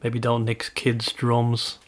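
Irish male podcast host, casual and chatty, quick to laugh, self-correcting. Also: mid-range voice, monotone. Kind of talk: casual chat.

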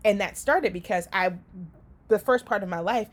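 A woman from Liberia giving casual career coaching, talking conversationally with frequent "right?" and quick restarts. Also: mid-range voice. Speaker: career coach and company founder. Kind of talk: casual coaching talk to an audience.

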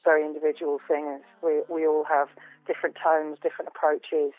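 A woman with a low voice, a folk musician chatting as a guest in a phone interview, often repeating words while she thinks. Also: little pitch movement, a little fast. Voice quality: low female voice. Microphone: telephone. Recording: tinny phone line.

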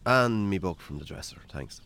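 An actor playing a weary, wry Irish farmer in a naturalistic radio drama. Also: male voice, sits low, animated, moderate pace, fairly bright tone, clear, resonant tone. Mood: fond, content.

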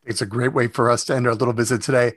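A man's voice: mid-range voice, level tone, rapid-fire, no echo, clean studio sound. A man hosting a radio interview in a casual, conversational style.

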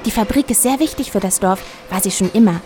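An actress playing a talkative, helpful factory guide in a radio play, eagerly explaining things. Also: female voice, mid-range voice, fast, over music, natural voice. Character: chatty, helpful woman guiding a visitor round village factory.

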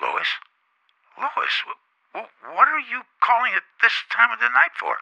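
An actor playing a concerned middle-aged father, halting and anxious, through a telephone filter in 1950s radio drama. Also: extremely high pitch, wildly swooping pitch, creaky, pushed and bright, heavily acted, rough recording. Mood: puzzled concern. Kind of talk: 1950s radio suspense acting.

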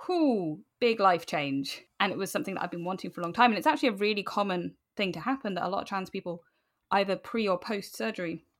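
Fast-talking woman, lower-pitched voice. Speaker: podcast guest. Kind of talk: candid conversational interview.

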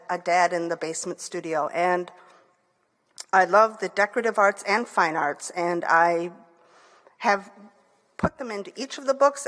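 A woman's voice: medium pitch, fairly bright tone. Enthusiastic Michigan woman in a reverberant hall. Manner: enthusiastic, with fillers and restarts. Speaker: author. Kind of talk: book talk.

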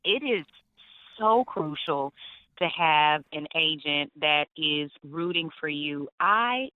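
A young adult woman from Atlanta, an actress telling lively stories in an interview and laughing often. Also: deep voice, slow, no room sound. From Atlanta, Georgia. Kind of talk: interview on the phone. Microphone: phone. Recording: tinny phone line, dry.

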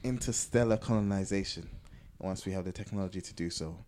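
Low-voiced man, some background noise. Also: a little fast. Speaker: podcast host. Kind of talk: casual discussion.

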